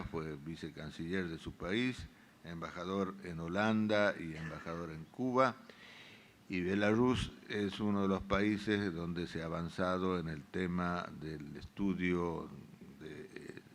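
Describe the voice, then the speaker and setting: A man moderating a panel, giving formal, ceremonious introductions. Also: low voice.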